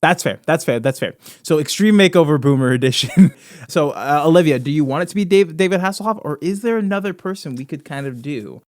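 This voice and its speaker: Higher-voiced man in casual, joking podcast chat. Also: lively intonation, fairly quick, no room sound, studio quality. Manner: emphatically repeats short phrases. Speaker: podcast co-host.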